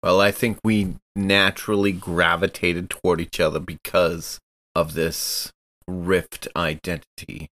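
A man's voice: low-pitched, little pitch movement, measured pace, a bit bright, dry recording. A man taking part in a tabletop game, speaking casually as he discusses the story.

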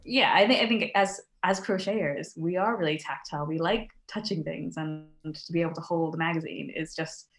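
A chatty young woman with an American accent, her voice sitting a little low, talking easily as a podcast guest. She pads her thoughts with ums and quick laughs. The sound is roomy, with a clear echo around her. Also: fairly even intonation. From United States. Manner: chatty, laughs often, many ums and restarts. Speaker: podcast guest, crochet designer. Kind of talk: casual interview chat.

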